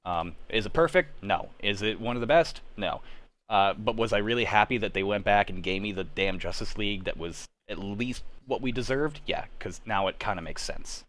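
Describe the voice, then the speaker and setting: A man's voice: on the low side, lively intonation, normal speed, a bit bright. A man chatting casually on a livestream as host, enthusiastic and opinionated, with some casual swearing. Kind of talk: casual chat about superhero movies. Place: remote group livestream.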